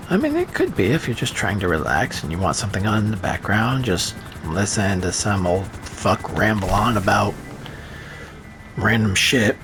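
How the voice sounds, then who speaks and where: A man rambling through casual gameplay commentary, sarcastic and profane, with a voice that is starting to go. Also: lowish voice. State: losing his voice. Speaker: gaming streamer.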